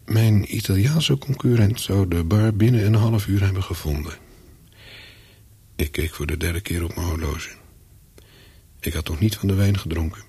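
An actor playing a wary, calculating spy on the run, narrating a radio play in terse sentences with a husky voice. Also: male voice, low-pitched, moderate pace, pauses often, darkish tone, no echo, heavily acted. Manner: terse, brooding, clipped sentences. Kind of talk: first-person radio play narration with dialogue.

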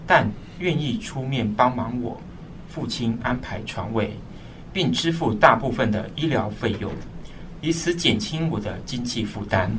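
A man's voice: mid-range voice, lively intonation, measured pace, fairly bright tone, slight background noise. A man playing a burdened, dutiful eldest son, narrating a radio drama in a solemn, earnest way. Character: burdened eldest son, dutiful and troubled, telling his story. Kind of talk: scripted dramatic first-person narration. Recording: slightly distant mic, music behind.

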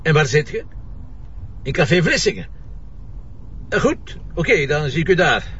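An actor with a Flemish Belgian accent playing a shrewd, teasing police commissioner in a radio drama, wry and colloquial in manner. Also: male voice, a little high, big pitch swings, moderate pace, fairly bright tone, distant mic, muffled recording, a little hiss or hum. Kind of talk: naturalistic radio drama dialogue.

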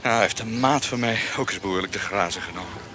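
A man plays an ardent rogue with a fairly low voice in a costume radio drama. He is effusive and emphatic, over clearly audible background noise. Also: fast talker, lightly acted. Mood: suspicious. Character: ardent, grateful male lover, bald rogue. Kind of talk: Dutch radio drama acting. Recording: noisy ambience behind the voice.

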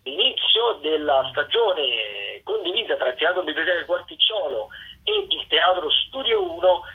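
A radio presenter giving a semi-scripted rundown of listings in a halting, discursive way, with self-corrections. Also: mid-range voice, big pitch swings, husky. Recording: muffled, phone-like radio line.

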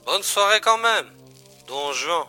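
A man playing a calm, matter-of-fact secret agent colleague in a science-fiction audio drama, explaining things in a familiar way. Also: high-pitched, takes it slowly, over loud music. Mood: teasing.

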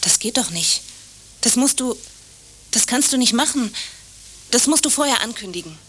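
A woman's voice: a little high, expressive, moderate pace, fairly bright tone, slight background noise. A woman playing an anxious, clinging, lovestruck landlady in a naturalistic radio drama, insistent and repeating her short phrases. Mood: upset, protesting.

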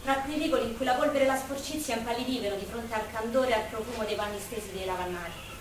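An actress as a childlike, unhinged young woman from the Roman streets, in a high voice and a theatrical dialect. She sounds like a stage drama heard from far off in a very echoey space. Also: quick pace, background noise, lightly acted. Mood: nostalgic. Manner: theatrical, childlike, breaking into song. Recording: distant, echoey stage recording with music.

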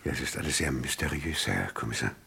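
An actor playing an eager, slightly flustered neighbour giving witness answers in a vintage radio crime drama, with a husky voice. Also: male voice, rumbling low, animated, fast talker, heavily acted. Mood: flustered, helpful.

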